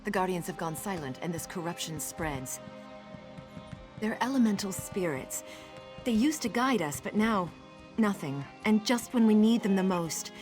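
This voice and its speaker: Fantasy game priestess, played by a woman: solemn, earnest, worried, mid-pitched, with music clearly behind her. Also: animated, normal speed, close to an everyday voice. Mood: worried, urgent. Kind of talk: fantasy video game voice acting.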